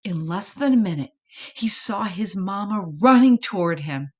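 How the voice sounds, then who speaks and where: A woman reading a children's story expressively, with dramatic pauses and drawn-out words. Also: medium pitch, animated, darkish tone. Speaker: storybook reader.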